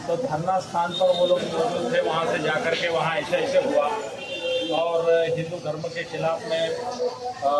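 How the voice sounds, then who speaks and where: A man giving a spoken account to a news reporter, explaining at length and repeating himself. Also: a little high. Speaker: monk and protest movement representative. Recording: noisy, echoey, distant field recording.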